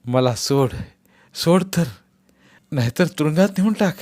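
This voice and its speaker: Storybook village patriarch, stubborn and angry, loud raised voice, as a male narrator puts him on. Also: on the high side, expressive, a little slow, voice pushed up. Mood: weary. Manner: angry shouting.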